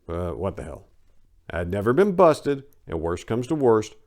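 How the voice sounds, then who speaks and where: A man from the United States narrates a first-person memoir as a dramatic reading. His voice sits on the low side. He tells it in a gritty, irreverent way, like someone recounting his own rough past. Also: sing-song, measured pace, darkish tone. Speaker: audiobook narrator.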